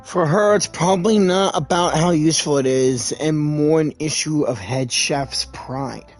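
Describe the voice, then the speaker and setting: A man's voice: a little high, unhurried. A man doing casual, conversational live game commentary and reading dialogue along. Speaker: gaming streamer playing along. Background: game music.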